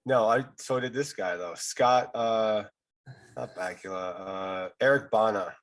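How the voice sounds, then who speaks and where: A man co-hosting a gaming podcast, talking loud, jokey and rambling in casual chat. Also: on the low side, unhurried. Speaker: podcast co-host.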